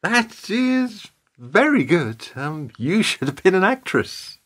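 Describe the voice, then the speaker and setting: A man's voice: high-pitched, sing-song, slow, fairly bright tone, heavily acted. A man in a radio play acting a courteous, uncomfortable stage star, polite and hesitant. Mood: polite, uneasy.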